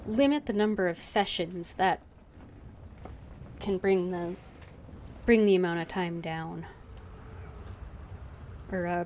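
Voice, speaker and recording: A woman's voice: mid-range voice, a little hiss or hum. A woman game streamer giving casual, rambling commentary, hesitantly thinking aloud.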